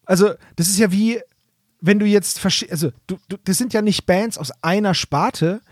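A man's voice: sits high, normal speed, breathy edge, dry recording, clean studio sound. A man chatting casually as a podcast host.

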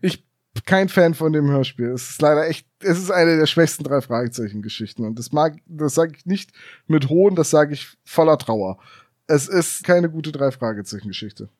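A man's voice: fairly high pitch, expressive, moderate pace, darkish tone, no room sound. A man co-hosting a podcast in casual chat, making wry, dry jokes. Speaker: podcast co-host. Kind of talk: casual chat reviewing an audio drama.

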